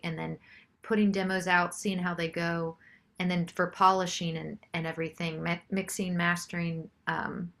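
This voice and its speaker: A woman's voice: lowish voice, fairly bright tone. A woman singer-songwriter chatting casually as an interview guest.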